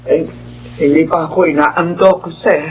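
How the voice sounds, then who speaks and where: A man's voice: on the high side, steady tone, measured pace, dull audio, natural voice. A man playing a worried elderly grandparent in a radio drama, anxious and plaintive. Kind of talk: Filipino radio drama acting.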